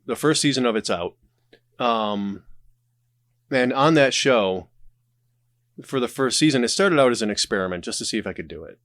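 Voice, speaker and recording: A man's voice: mid-range voice, expressive, moderate pace, long pauses, a bit bright, no room sound, studio quality. A man with a smoker's voice chatting casually as a podcast guest, wry and self-deprecating, given to rambling asides. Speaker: podcast guest, audio drama creator. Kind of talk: casual conversational interview.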